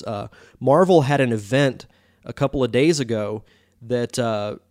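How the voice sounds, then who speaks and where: A man's voice: medium pitch, darkish tone, no echo, clean studio sound. A man hosting his podcast, talking in a chatty, enthusiastic way while congested with a sore throat. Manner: chatty, enthusiastic, with many fillers. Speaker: podcast host. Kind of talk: casual podcast monologue.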